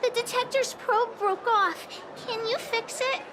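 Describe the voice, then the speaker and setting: A woman's voice: very high voice, measured pace, a crowd in the background, noisy background. A woman voicing a plucky, boastful little girl in a video game dub, who talks of herself in the third person. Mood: eager. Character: plucky little girl, boastful, earnest, self-styled leader.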